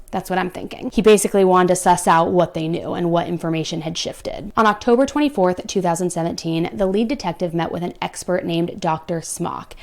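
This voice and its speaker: A woman's voice: fairly low pitch, creaky. A young woman hosting a true crime show, recounting a case and breaking off into blunt, exasperated asides to her viewers. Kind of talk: true crime storytelling with commentary.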